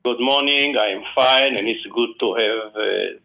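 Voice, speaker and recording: Old israeli guy calling in, bright voice, lots of uhs and restarts. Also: mid-range voice, gravelly. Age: 70s. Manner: halting, many fillers and restarts. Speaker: author guest. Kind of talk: phone interview. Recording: phone line.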